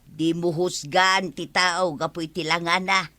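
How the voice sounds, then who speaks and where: A woman acting a kind-hearted older village neighbour, gentle and forgiving, with an Ilocano accent in a comedy radio drama. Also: fairly low pitch, flat delivery, measured pace. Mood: reasonable. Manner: gentle, forgiving, matter-of-fact.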